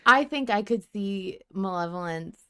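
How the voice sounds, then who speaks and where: A woman's voice: mid-range voice, expressive, unhurried, pushed and bright, clean tone. A woman co-hosting a podcast in casual fan discussion, informal and sweary in how she talks. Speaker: podcast co-host.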